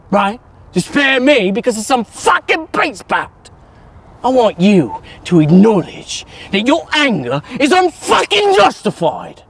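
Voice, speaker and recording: An actor playing a volatile gangster boss who swears constantly and swings from shouting to menacing hushed rambling. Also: male voice, very high-pitched, slightly rough, full character voice. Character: volatile London gangster boss, middle-aged man. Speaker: gang leader. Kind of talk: TV crime drama acting.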